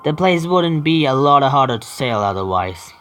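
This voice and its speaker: A man hosting a gaming video, reading story text aloud haltingly with stumbles and adding casual asides. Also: mid-range voice, big pitch swings, fairly bright tone, a little hiss or hum. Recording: faint game music behind. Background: faint video game music.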